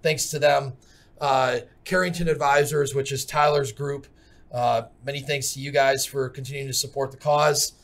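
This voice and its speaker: A man's voice: medium pitch, normal speed. A man, hosting a podcast in a casual, folksy way with plenty of fillers, at a desk mic in a studio. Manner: relaxed, folksy, many fillers. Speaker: podcast host. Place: city studio with glass windows. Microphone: desk microphone on stand.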